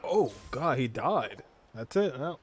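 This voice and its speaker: A man's voice: medium pitch, sing-song, slow pace, soft background music. A man giving casual playthrough commentary as a gaming streamer.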